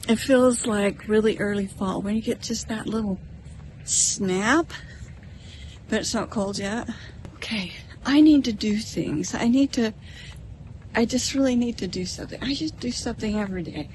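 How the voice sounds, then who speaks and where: A woman's voice: a little high, moderate pace, a little mellow, a little hiss or hum. A middle-aged American woman talking casually and reflectively to camera as a vlogger, in a musing, slightly self-conscious conversational way. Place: outdoors in a park, partly in a parking lot.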